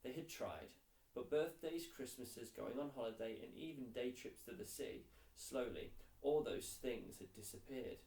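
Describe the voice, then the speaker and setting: A man's voice: medium pitch, a little slow, vocal fry, a little mellow. A man narrating a children's novel aloud as a scripted storybook reading. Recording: roomy echo, distant mic.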